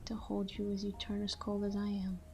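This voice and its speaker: A woman playing a tender, melancholy vampire lover in an intimate roleplay monologue, murmuring slowly and slipping into whispers. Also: mid-range voice, completely flat delivery, darkish tone, slight background noise, lightly acted. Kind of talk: intimate ASMR-style audio roleplay monologue.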